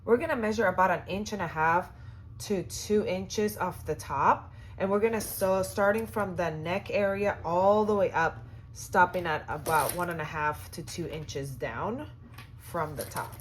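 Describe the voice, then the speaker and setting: Hoarse, chatty woman sewing tutor. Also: on the low side, unhurried, a little hiss or hum. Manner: chatty, upbeat, lots of fillers. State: hoarse, voice cutting in and out. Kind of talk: casual how-to demonstration.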